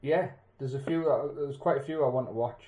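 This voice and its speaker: Male co-host, relaxed chat, middle pitch, filler-heavy, echoey room sound. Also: brisk. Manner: easygoing, lots of fillers and teasing. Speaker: podcast co-host. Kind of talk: casual chat over beers.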